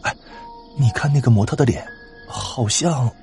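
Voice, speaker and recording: A man's voice: mid-range voice, moderate pace, a little mellow, soft background music, lightly acted. A man playing a curious, easily startled patrol officer in an audio drama, inquisitive and exclaiming. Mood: puzzled.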